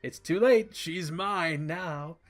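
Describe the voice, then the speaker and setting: A man voicing a doting father in a story, gentle and indulgent, playfully theatrical. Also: fairly high pitch, slow pace, soft background music. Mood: mock menacing. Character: doting young father playing at pantomime villain.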